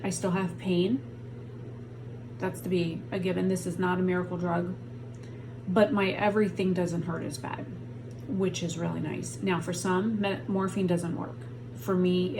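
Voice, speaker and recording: Woman rambling on her vlog, kinda low voice, hurting, lots of hiss behind her. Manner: chatty, rambling, frank. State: in pain. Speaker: vlogger. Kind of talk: casual talk to camera. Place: at home on a heating pad.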